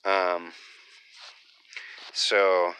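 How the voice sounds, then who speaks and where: A young man walking through his half-built homestead, talking casually and rambling with many fillers, in a voice he calls monotone. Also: low voice, extremely slow, clear, resonant tone, rough recording. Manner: rambling, lots of fillers, self-described monotone. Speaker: homesteader vlogger. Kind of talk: casual off-grid build vlog. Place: half-built earthship and outdoor rooftop.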